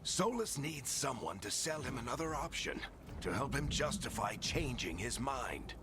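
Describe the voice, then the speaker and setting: A man's voice: medium pitch, big pitch swings, moderate pace, background noise. An actor voicing a loyal, weary dwarf rogue in a video game, wry and earnest as he tries to persuade. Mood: confident. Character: weary, loyal male dwarf rogue pleading with old friend. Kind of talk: dramatic video game acting. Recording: loud music behind. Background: cinematic game music.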